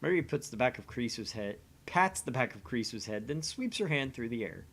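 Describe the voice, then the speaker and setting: A man's voice: medium pitch, very animated, fairly quick, a bit bright. A man reading scripted visual novel narration aloud as the host of a gaming channel.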